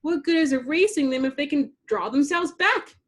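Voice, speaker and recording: A woman's voice: sits high, animated, normal speed, pitched above the performer's own voice. A woman voicing a bossy, tough little girl superhero from a children's storybook, curt and punchy. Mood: bold.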